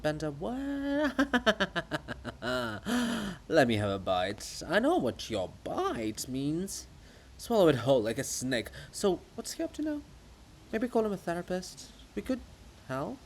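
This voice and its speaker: A man voicing a mocking, excitable chorus of gossiping living monuments in a theatrical reading. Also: sits high, slow, gravelly, slight background noise, heavily acted. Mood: gossipy, teasing. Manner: jeering, excitable, taunting.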